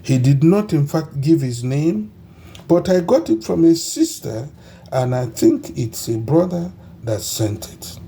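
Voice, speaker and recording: A middle-aged Nigerian man, a bishop, preaching and praying fervently in a recorded audio message with emphatic, drawn-out phrases. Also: on the high side, very animated, warm tone, breathy edge.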